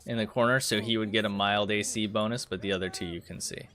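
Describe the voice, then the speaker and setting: A man's voice: on the low side, fairly even intonation, fast talker, fairly bright tone, clear, resonant tone. A man running a tabletop roleplaying game as game master, giving rulings in casual talk.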